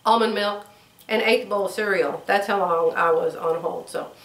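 An older woman with a drawl, hoarse, telling stories and chatting casually to camera as a sewing vlogger. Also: mid-range voice, normal speed, fairly bright tone, recorded from a distance. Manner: chatty storytelling, acts out quoted voices. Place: bedroom sewing room, indoors. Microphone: camera across the room. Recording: roomy, from off mic.

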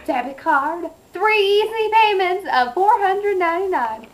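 Young woman as a very high-pitched fairy saleswoman. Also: animated, measured pace. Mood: bubbly, salesy. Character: bubbly typewriter fairy saleswoman in parody commercial. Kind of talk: amateur skit, mock infomercial. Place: small carpeted attic room, indoors. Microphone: handheld camera. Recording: echoey home room, camera mic.

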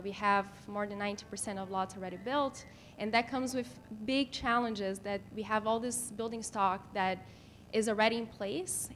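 Woman presenting over noticeable background noise. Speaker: city planner presenting. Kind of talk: formal slide presentation at a public meeting. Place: meeting room in a civic building. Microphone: podium microphone. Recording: clear background noise with low rumble.

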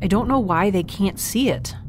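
A woman's voice: medium pitch, expressive, normal speed, noisy background, natural voice. An actress playing a lone young woman stranded in space who records a log, talking in a hesitant, wry way with dry asides. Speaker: narrator keeping a log. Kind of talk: audio drama monologue.

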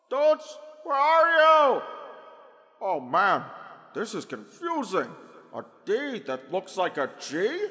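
Goofy, earnest cartoon handyman dude, done by a man: lively intonation, unhurried, music underneath. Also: extremely high pitch, exaggerated voice. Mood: bewildered. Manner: earnest, dopey, enthusiastic. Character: friendly, excitable goofy young man handyman, dude slang. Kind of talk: comic dub cartoon acting.

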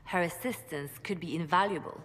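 An actress playing a poised female ambassador who speaks in a gracious, measured, formal way. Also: on the low side, a bit bright, big-room sound, close to an everyday voice. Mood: composed. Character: poised female court ambassador, polite and diplomatic.

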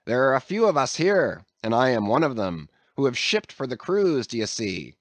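A man's voice: medium pitch, big pitch swings, moderate pace, dry recording, natural voice. A man voicing a blunt, defiant sailor who leads a group of mutineers. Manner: blunt, pleading and threatening by turns.

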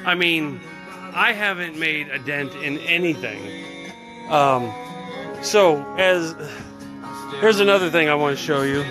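A middle-aged man rambling excitably and swearing casually during a live phone stream outdoors at a storage unit. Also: fairly high pitch, measured pace, pauses often, over music, rough recording. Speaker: vlogger. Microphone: phone at arm's length.

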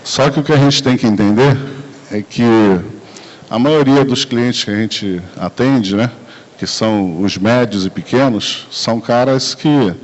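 A man with a Brazilian accent giving a relaxed, conversational sales presentation at a conference, into a handheld mic in a large room. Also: medium pitch, a little slow. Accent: Brazilian Portuguese. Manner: relaxed, conversational, frequent tag questions and fillers. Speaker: sales talk speaker. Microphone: handheld mic, recorded by far camera. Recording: dull lo-fi camcorder audio.

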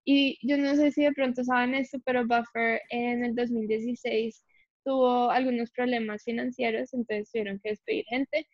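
A woman in her twenties, born in Colombia and raised in Canada, telling her story in a chatty, animated way with many fillers on a video call. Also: fairly high pitch, normal speed, dry recording. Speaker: guest, software developer. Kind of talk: casual interview chat. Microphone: laptop webcam on video call. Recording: dry, dull video call audio.

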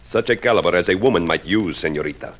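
Low Spanish-accented formal police inspector. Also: male voice, quick pace, fairly bright tone. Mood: probing. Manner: formal, florid, wry. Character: weary, formal Latin police inspector with florid phrasing. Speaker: actor in a radio drama. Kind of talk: 1950s radio acting. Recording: noisy 1950s radio recording.

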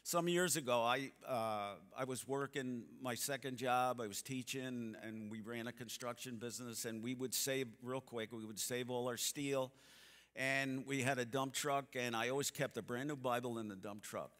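A man in his seventies giving a church seminar talk, a folksy, animated storyteller who acts out the people in his stories. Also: mid-range voice, normal speed. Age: 70s. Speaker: seminar speaker. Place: church sanctuary stage, seated. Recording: long hall reverb from the sanctuary.

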